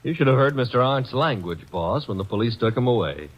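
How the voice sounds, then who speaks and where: An actor playing a breezy, wisecracking assistant to a detective, in 1940s radio acting. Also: male voice, medium pitch, expressive, brisk, faint music behind, close to an everyday voice. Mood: wry. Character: wisecracking young male sidekick, breezy and cocky. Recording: dull old radio broadcast recording.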